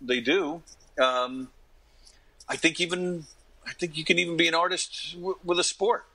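Bright-voiced New man of fifty. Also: a little high, fairly even intonation, measured pace, low-quality recording. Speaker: interview guest, actor and comedian. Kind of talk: casual interview anecdotes and advice.

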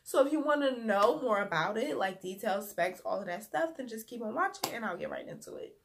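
A woman's voice: on the high side, a little hiss or hum. A young woman with a cold, her voice throaty and sniffly, chatting casually to camera in a small room as she reviews a wig. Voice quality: sniffly, husky from a sore throat. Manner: chatty and relaxed, drawn-out words, many "um"s. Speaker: beauty vlogger.

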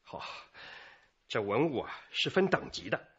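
A man's voice: mid-range voice, sing-song, measured pace, a bit bright, breathy edge, dull audio, heavily acted. An actor playing a self-important male department store manager in a radio crime drama, explaining in an official manner.

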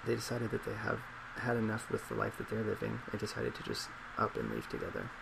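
A man in his twenties speaking in a soft ASMR role play as a psychologist, hesitant, measured and reassuring, recorded in a small office. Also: on the low side, big pitch swings, creaky. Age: 20s. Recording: loud laptop fan noise.

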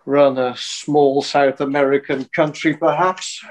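A man acts an older football official in a comedy, exasperated but restrained. He speaks in a measured, dry way, picking his words as he reprimands, on a video call. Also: fairly high pitch, fairly even intonation, lightly acted, rough recording. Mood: dry, firm. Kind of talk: filmed comedy acting. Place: room at home, on a video call.

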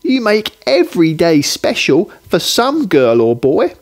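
A man's voice: sits high, wildly swooping pitch, measured pace, voice pushed up, full character voice. A man voicing a small, earnest little boy in a children's storybook, eager and sincere. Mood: earnest admiration.

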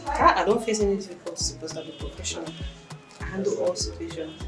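A woman's voice: on the low side, quick pace, a bit bright, soft background music. A young woman, a spa esthetician answering interview questions plainly and with confidence, in a small treatment room. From Cameroon. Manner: plain, self-assured, brief answers.

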